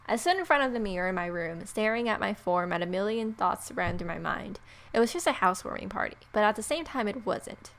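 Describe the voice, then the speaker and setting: A woman narrates a visual novel story aloud and drops in casual asides. Her voice is medium in pitch, with soft music behind it. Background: faint music.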